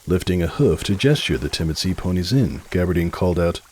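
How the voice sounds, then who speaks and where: A man narrating a scripted story as a dramatic reading, with a deep, husky voice and expressive delivery. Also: brisk, a little hiss or hum.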